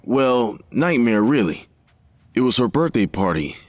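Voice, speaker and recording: A man acting as a worried father in an audio drama. He speaks gravely, with halting phrases. His middle-pitched voice rises and falls expressively. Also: very animated, slight background noise. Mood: disturbed.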